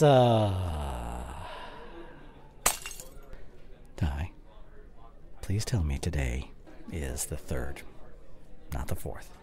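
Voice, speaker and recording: Young male boyfriend played by a male actor for audio roleplay: forgetful, dismissive gamer, slangy and coaxing, unhurried delivery with lively pitch swings. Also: heavily acted. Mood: panicked. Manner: casual, slangy, coaxing, drawn-out phrasing.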